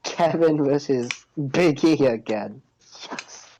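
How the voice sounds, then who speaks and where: A man with a medium-pitched voice chats casually as a podcast co-host. He talks about pro wrestling, and there is faint noise with some clicking on the recording. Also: takes it slowly, warm tone, rough recording.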